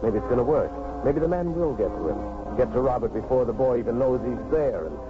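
A man's voice: mid-range voice, lively intonation, brisk, natural voice. American radio storyteller over music a man. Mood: suspenseful. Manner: dramatic, present-tense, confiding. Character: suspense-building male storyteller. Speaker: narrator. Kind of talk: 1950s radio drama narration. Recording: dull vintage radio recording. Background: dramatic music.

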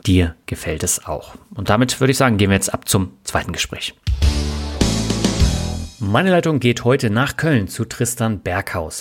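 Male podcast host, lowish voice, polite and measured delivery. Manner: polite, measured, formal thanks. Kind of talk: podcast interview and narration.

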